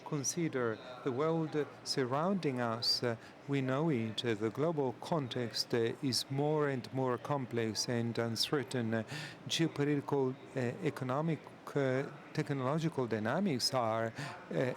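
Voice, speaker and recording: A man giving live interpretation at a conference, halting and full of filler sounds. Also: medium pitch, background noise. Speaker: simultaneous interpreter.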